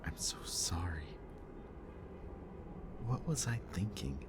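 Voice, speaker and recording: A man playing a bitter, remorseful villain in an audio roleplay, confessing in a low, intimate voice that sometimes drops to a whisper. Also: slow pace, exaggerated voice. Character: remorseful bitter male villain, young adult. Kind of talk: audio roleplay addressed to the listener. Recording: steady ambient background noise.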